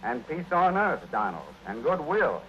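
Lively, kindly old Santa Claus. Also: male voice, a little high, unhurried, slight background noise. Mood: gently teaching. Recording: muffled 1940s radio recording with music.

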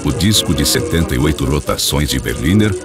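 An extremely deep-voiced man narrates a scripted radio drama. His delivery is formal and dramatic, over prominent music. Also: expressive, a little fast, gravelly.

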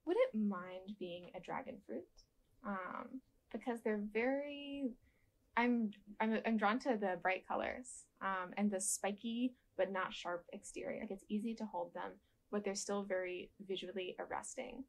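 A young woman with a soft voice giving gentle, thoughtful interview answers with frequent ums and restarts, seated in a dark studio. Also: medium pitch, a little slow, poor audio.

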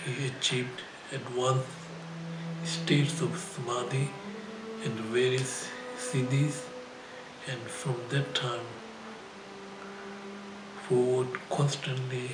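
An older man, a Buddhist teacher, reads a scripted life story aloud in a halting, phrase-by-phrase way. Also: mid-range voice, little pitch movement, noisy background. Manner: halting, phrase-by-phrase delivery with frequent throat clearing. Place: indoor shrine room. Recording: roomy echo, music behind.

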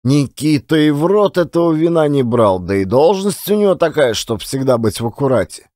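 Audiobook drama role: male actor as folksy old ex-marker spinning yarns, animated melody, dry sound. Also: on the high side, no room sound, lightly acted. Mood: animated recounting. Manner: colloquial, unhurried storytelling with rustic turns of phrase. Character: old former billiard marker, a folksy storyteller reminiscing. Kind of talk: one-man audiobook drama.

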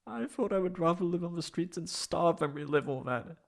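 A man voicing a wounded, anxious young catboy in an emotional ASMR roleplay, halting and stammering tearfully. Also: fairly high pitch, gravelly. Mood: distraught, pleading.

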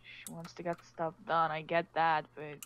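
Woman acting a lowish-voiced, level-headed survivor. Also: little pitch movement, fairly bright tone, clear, resonant tone, dull audio. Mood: calm, concerned. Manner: casual, conversational, measured. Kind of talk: amateur roleplay acting.

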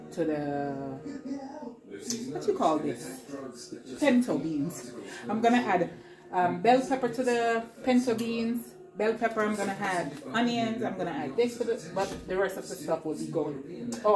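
A woman's voice: fairly low pitch, roomy sound. A middle-aged woman vlogging casually from her home kitchen, chatty and rambling as she talks to her viewers while she cooks. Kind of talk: casual cooking vlog. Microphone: camera on the counter.

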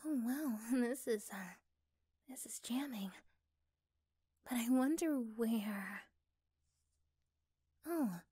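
Woman acting a slow, high-voiced gentle girlfriend. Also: lively intonation, husky, full character voice. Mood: bewildered. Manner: gentle, hesitant, halting. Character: affectionate, anxious, curious girlfriend. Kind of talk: ASMR roleplay acting.

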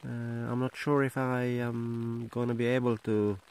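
A middle-aged man with a Norwegian accent, talking to a handheld camera in a casual camping vlog, halting with many fillers. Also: mid-range voice, flat delivery, darkish tone, smooth. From Norway. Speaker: outdoor vlogger. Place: inside a small tent, then outdoors by a lake. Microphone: handheld camera at close range.

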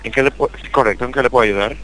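A man's voice: mid-range voice, very fast, a bit bright. A man working as a customer service agent on the phone, speaking formally and questioning insistently. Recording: noisy telephone line.

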